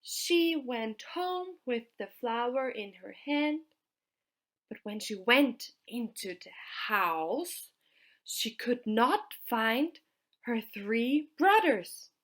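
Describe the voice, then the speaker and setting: A woman with a fairly high voice tells a fairytale very slowly. She speaks deliberately, in short, halting groups of words with pauses between them. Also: big pitch swings. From Austria. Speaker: storyteller. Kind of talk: slow fairytale storytelling.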